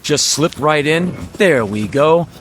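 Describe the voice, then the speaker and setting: A man's voice: on the high side, animated, slow, fairly bright tone, a little hiss or hum. An actor playing a slippery, folksy used-car salesman with an American accent, pushing glib, scornful patter in a radio drama. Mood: pushy coaxing. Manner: glib, theatrical hard-sell patter.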